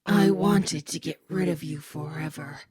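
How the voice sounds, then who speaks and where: A woman voicing a cold evil spirit that has taken over a teenage girl, speaking in a low, husky, raspy voice. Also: a little mellow, no echo, studio quality, exaggerated voice. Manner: slow, cold and threatening.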